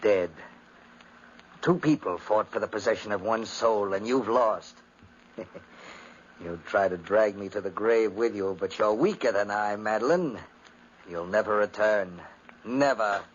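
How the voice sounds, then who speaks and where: Sinister, unstable gothic brother, played by an actor: low, volatile, theatrical; faintly hissy vintage radio recording. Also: male voice, unhurried, long pauses, muffled recording, natural voice. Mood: gloating, sinister. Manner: melodramatic, swinging from curt to frantic. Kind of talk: 1950s radio drama acting.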